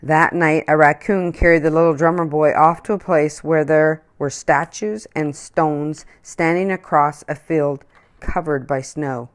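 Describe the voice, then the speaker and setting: A woman reading a children's picture book aloud in an expressive storytelling manner. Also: deep voice, unhurried.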